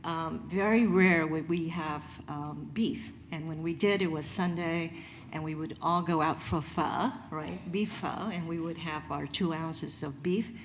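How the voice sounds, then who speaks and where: A woman's voice: deep voice, a little slow, slight background noise. A middle-aged woman from Vietnam, a chef giving a conference talk, conversational with many fillers and restarts. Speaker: chef presenter. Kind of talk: conference presentation.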